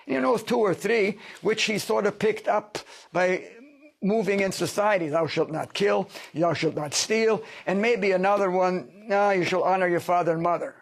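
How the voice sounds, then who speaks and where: Guy with a high gravelly voice, lecturing hard in an interview. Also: normal speed. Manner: lecturing, emphatic. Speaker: interview guest, sociologist. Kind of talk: studio interview.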